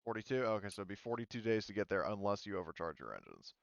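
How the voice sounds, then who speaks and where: A man's voice: on the low side, very animated, quick pace, vocal fry, dry recording, muffled recording. A man refereeing a casual tabletop game as game master, hesitant and thinking aloud as he talks.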